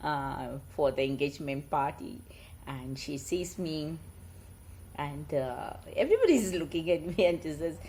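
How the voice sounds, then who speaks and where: A woman with an Indian accent, sharing moral stories in an informal, animated way. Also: low-pitched, measured pace, slight background noise. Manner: chuckling, animated, informal asides. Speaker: storyteller and host. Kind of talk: casual chat mixed with read-aloud moral tales.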